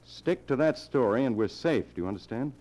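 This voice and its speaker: An actor playing a cold, controlling younger man who plots murder, in the deliberate, insistent style of 1940s radio drama. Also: mid-range voice, lively intonation, a little mellow, natural voice. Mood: firm, commanding. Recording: dull old radio broadcast recording.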